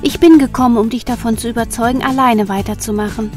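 Woman voicing a soft coaxing goddess, high bright voice, bit of music under it. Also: fairly even intonation, fast talker, natural voice. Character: cheerful, gentle young goddess woman. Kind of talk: amateur radio play acting.

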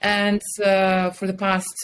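A woman's voice: medium pitch, completely flat delivery, slow pace, clean tone. A woman speaking enthusiastically and conversationally as an interview guest on a video call. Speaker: podcast guest, communications head and mentor. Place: indoor room with curtains and plants, on a video call.

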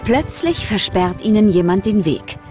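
A woman's voice: medium pitch, expressive, moderate pace, over music. A woman narrating a scripted children's story.

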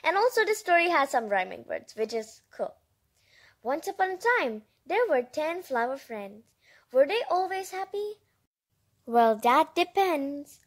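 Very high-pitched, animated female storybook reader. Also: a little slow. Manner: childlike, enthusiastic, exclaiming. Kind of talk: reading a picture book aloud with chatty asides.

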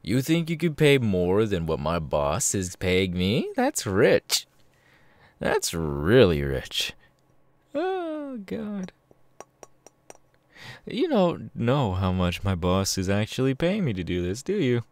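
Sadistic male killer for ASMR roleplay, acted by a man: low, gravelly, taunting, with wildly swinging pitch. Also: huge pitch swings, no echo, exaggerated voice. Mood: amused, scornful. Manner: slow, taunting, drawn-out with sudden swings. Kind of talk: ASMR villain roleplay, close and menacing.

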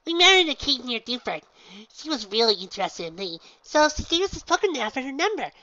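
A woman voicing a bubbly, excitable young female pony with a squeaky, overeager voice. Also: fast, no room sound, heavily acted. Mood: cheerful. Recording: dull, dry lo-fi recording.

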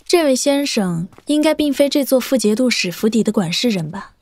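Cool, proud princess, close and dry. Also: female voice, a little high, expressive, a little fast, a little mellow, dry recording. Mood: cool, probing. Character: veiled enemy princess, young woman warrior, poised, probing. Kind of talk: audio drama acting.

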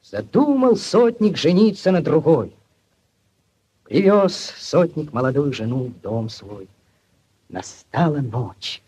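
Casting: ardent young village lad, theatrical storyteller for vintage radio play, high and very animated. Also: male voice, slow pace, muffled recording, a little hiss or hum. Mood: hushed suspense. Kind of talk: 1940s Soviet radio play acting. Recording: 1940s radio recording with music.

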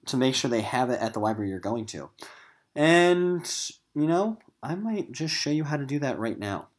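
Casual male teacher explaining things to students. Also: medium pitch. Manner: casual, conversational, talking directly to students. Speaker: middle school literature teacher. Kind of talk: informal recorded lesson for students. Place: voice-over on a screen recording of slides.

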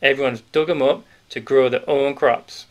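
A man's voice: medium pitch, fairly even intonation, normal speed, close to an everyday voice. A man voicing a stout, sly, cheerful male wartime grocer with a Yorkshire accent, in a knowing, conspiratorial manner. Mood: jovial.

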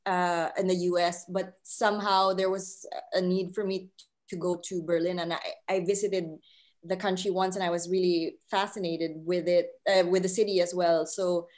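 Woman, thirties, from the Philippines, slightly low pitch, reflective, hesitant, casual interview, video call. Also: a little mellow. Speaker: film writer and director, panel guest. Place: living room on a video call. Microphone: laptop mic on video call.

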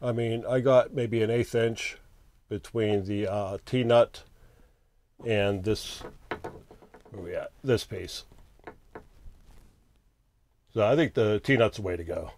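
A man's voice: fairly low pitch, slow pace, darkish tone. A man in his fifties, an RV owner, talking casually as he works through a repair step by step, thinking aloud as he goes. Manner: plainspoken, thinks aloud while working with his hands. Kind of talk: casual how-to narration. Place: small bedroom in an RV.

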